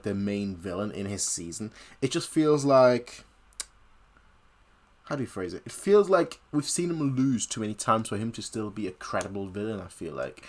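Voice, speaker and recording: Bright-voiced man giving casual, emphatic commentary. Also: fairly low pitch. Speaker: reaction video host.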